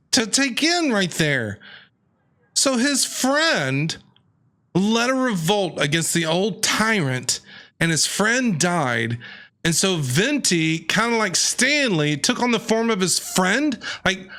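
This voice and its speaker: A man streaming a video game, reacting excitedly in casual commentary and talking back to the characters. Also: high-pitched. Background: game music.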